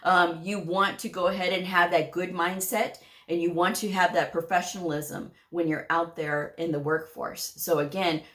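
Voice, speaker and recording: A woman's voice: on the low side, fairly even intonation, normal speed, hardly any pauses, fairly bright tone. A woman hosting her own channel who gives casual career advice to viewers, talking directly and firmly, often checking in with "okay?" and laughing lightly. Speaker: medical coding educator and channel host.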